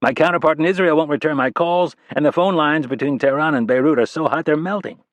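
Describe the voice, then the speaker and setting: Give me a man putting on a teasing, smart-mouthed ex-Marine spy chief, pitched up and lively, in a dry close audiobook read. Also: fast talker, dry recording, close to an everyday voice. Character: wisecracking middle-aged male spy boss, ex-Marine.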